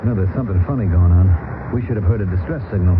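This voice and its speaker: A man's voice: low voice, racing, lightly acted. An actor playing a cautious, brooding male copilot in a 1970s radio drama. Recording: tinny lo-fi old radio broadcast, hissy.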